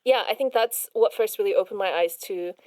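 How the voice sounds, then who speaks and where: A young woman with a chatty American accent softened by some Australian vowels, talking freely as a podcast guest and peppering every thought with "like". Her voice sits mid-range and sounds close and dry, with little room around it. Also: medium pitch, fairly quick, no room sound. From Long Island, New York, USA. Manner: chatty, heavy use of "like". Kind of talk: casual chat.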